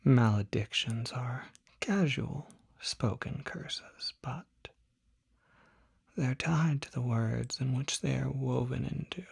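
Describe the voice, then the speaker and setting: A person voicing a polite, soft-spoken demon doctor in a whispered ASMR roleplay, with breathy, formal, precise speech. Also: mid-range voice, heavily acted. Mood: calm, clinical. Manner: formal, unhurried, precise diction.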